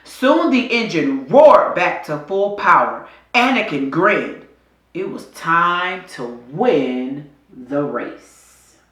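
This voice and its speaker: Woman, storybook narrator, bright voice, highly animated pitch, dramatic scripted delivery, echoey room. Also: slow pace. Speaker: storybook reader.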